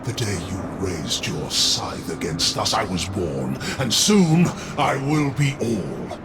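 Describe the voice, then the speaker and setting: An actor playing a vengeful, corrupted demonic brother in a game, gravelly, slow, theatrical and taunting, full of bitter menace. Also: male voice, sits low, sing-song, heavily acted. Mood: brooding, menacing. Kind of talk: dramatic video game acting. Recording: music behind the voice.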